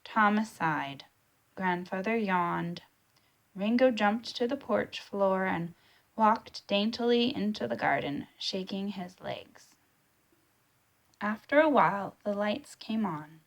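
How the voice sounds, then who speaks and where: A woman narrating a storybook in an expressive read-aloud style. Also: medium pitch, slow pace, creaky. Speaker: storybook reader.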